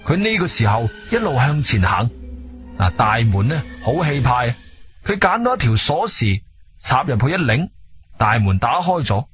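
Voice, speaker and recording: A man's voice: medium pitch, sing-song, normal speed, a bit bright, faint music behind. A man with a Cantonese accent narrating a radio drama in a colloquial, suspenseful storytelling manner.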